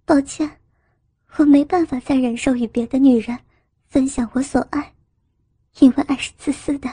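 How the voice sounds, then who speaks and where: A woman voicing a gentle, heartbroken young woman in an audiobook, sorrowful and restrained, holding back tears. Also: sits high, steady tone, normal speed, no echo, close to an everyday voice. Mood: sorrowful, resolute.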